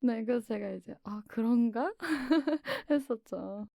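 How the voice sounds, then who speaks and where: A woman's voice: on the high side, animated, takes it slowly, gravelly, dark tone, no room sound, studio quality. A woman speaking as a guest on a radio show, in casual conversation.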